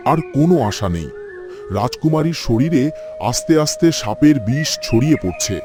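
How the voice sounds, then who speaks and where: A man's voice: on the high side, lively intonation, normal speed, over music, close to an everyday voice. A man voicing a grave, despairing royal physician in a dramatic cartoon dub.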